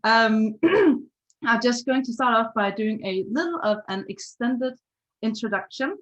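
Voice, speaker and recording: A woman's voice: on the high side, lively intonation, a little slow, fairly bright tone, lo-fi sound. Upbeat Danish-accented woman presenter. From Denmark. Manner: upbeat, chatty, frequent laughs. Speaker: guest artist presenter. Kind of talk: casual webinar presentation. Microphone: video call.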